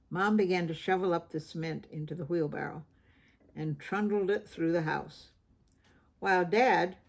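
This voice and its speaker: Creaky-voiced woman reading a picture book. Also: lowish voice. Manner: expressive, informal asides, acts out dialogue. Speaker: children's picture book reader. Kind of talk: read-aloud storytelling with asides about pictures.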